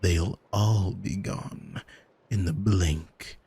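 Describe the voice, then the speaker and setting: A man playing an arrogant, manipulative demon in an ASMR roleplay, coaxing in a smug, soothing, hypnotic manner with a breathy, husky voice. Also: sits low, dark tone, exaggerated voice. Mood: possessive, coaxing. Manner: smug, deliberate, soothing, commanding. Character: arrogant male demon, soul-owning manipulator, calm and seductive.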